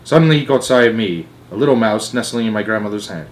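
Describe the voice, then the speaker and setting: A man narrating a children's storybook aloud in an animated read-aloud style. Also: fairly low pitch, fast, slight background noise.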